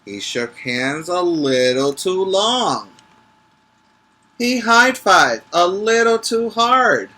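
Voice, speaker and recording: A man who teaches preschool, reading a picture book aloud emphatically, stretching key words and pausing to explain things to the children. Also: high voice, very animated, sharp tone. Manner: instructive, checking in with listeners.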